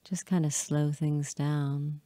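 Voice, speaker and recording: A woman leading a hypnotic guided meditation in a soothing, measured way, pausing often between short phrases. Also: low-pitched, level tone, mellow, no echo. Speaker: hypnotist guiding listeners.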